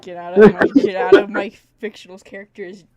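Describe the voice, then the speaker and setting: A woman's voice: mid-range voice, little pitch movement, brisk, muffled recording, crowd noise. Mock-exasperated, giggly woman streamer. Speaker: livestreamer. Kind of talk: casual chat while gaming.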